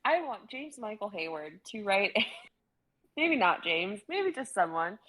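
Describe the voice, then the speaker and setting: Giggly husky young woman. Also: mid-range voice, very animated, a little slow, fairly bright tone. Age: young adult. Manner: giggly, playful, joking. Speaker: stream co-host and reader. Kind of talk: casual group chat.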